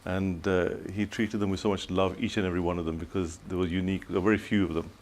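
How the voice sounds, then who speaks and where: A man's voice: low-pitched, level tone, fast, a little mellow. An older man from Tanzania, a studio guest on a telethon, speaking reflectively with many restarts.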